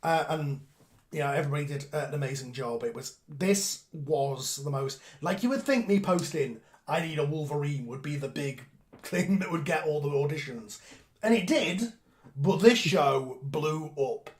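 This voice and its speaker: A man, the show's creator, chatting loosely on a group video call, rambling with quick asides. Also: on the high side, lively intonation. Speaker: show creator and host. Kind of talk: casual aftershow chat on a group call.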